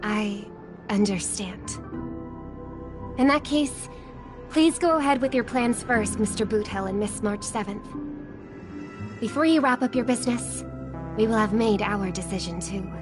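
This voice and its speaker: A woman's voice: mid-range voice, unhurried, long pauses, music in the background, natural voice. A woman playing a poised, diplomatic young leader in a video game, polite and composed. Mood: composed, concerned.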